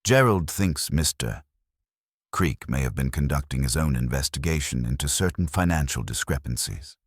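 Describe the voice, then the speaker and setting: A man's voice: a bass voice, lively intonation, normal speed, husky, no echo, full character voice. A man voicing a formidable, self-important older woman who gossips with precise authority in a mystery story. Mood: satisfied, gossipy.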